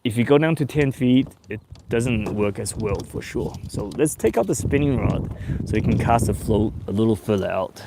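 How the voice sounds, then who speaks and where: A man in his forties who grew up in Taiwan and Australia, hosting a casual fishing livestream from a boat on a lake in a relaxed, chatty way. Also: fairly low pitch, moderate pace, very noisy. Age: 40s. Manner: relaxed and chatty, with bursts of excitement when a fish bites. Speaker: fishing show host.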